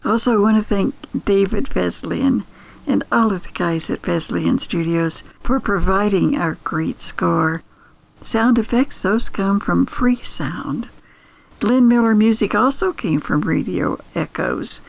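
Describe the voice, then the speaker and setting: A woman's voice: medium pitch, unhurried, creaky, poor audio. An older woman hosting a storytelling podcast in a personal, conversational style.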